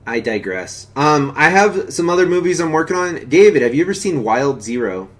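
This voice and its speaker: A man's voice: a little high, big pitch swings, moderate pace. A man hosting a stream, chatting casually about movies in a rambling, casually profane way. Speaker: stream host. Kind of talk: casual film commentary chat.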